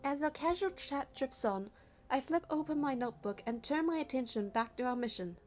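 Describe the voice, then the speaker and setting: A woman's voice: sits high, expressive, moderate pace, slight background noise. A young woman narrating a game playthrough, reading the story and the player character's part in a casual way. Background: music.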